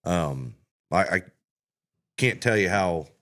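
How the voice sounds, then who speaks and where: A man's voice: sits low, expressive, slow, a bit bright. A man hosting a podcast who chats casually and eagerly promotes the show. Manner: enthusiastic, promotional. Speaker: podcast host.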